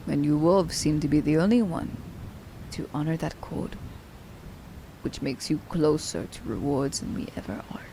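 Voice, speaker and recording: A woman playing a cunning, haughty immortal assassin in an ASMR audio drama, speaking in theatrical, formal diction, breathy and often dropping toward a whisper. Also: sits low, normal speed, warm tone, a little hiss or hum, rough recording. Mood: sly, musing. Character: immortal female assassin, cunning, teasing, haughty, romancing her captive.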